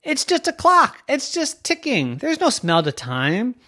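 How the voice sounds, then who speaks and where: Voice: high-pitched, huge pitch swings, measured pace, pushed and bright, pitched above the performer's own voice, full character voice. A storyteller voicing a puzzled little girl from a children's story, questioning and insistent. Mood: puzzled protest.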